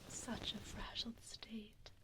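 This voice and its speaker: Woman voicing a slow, whispering, haughty evil vampire. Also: fairly high pitch, flat delivery, rough recording. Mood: condescending, fussing. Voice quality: breathy whisper. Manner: slow, deliberate, mockingly polite. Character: evil female vampire, sweetly menacing, haughty, possessive. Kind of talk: whispered ASMR roleplay. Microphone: binaural ear microphone, close up. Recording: close binaural mic with audible background noise.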